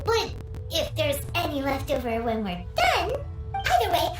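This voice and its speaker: A woman playing a small, excitable childlike character in a video game, chirpy and expressive. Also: sits high, a little slow, reverberant, over music, heavily acted. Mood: sheepish.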